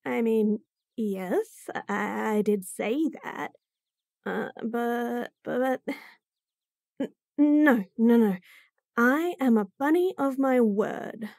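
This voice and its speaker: A woman's voice: fairly high pitch, slow, vocal fry, long pauses, darkish tone, no echo, clean studio sound. A woman voicing a bratty, flustered young bunny girl who stammers and giggles nervously in a roleplay audio.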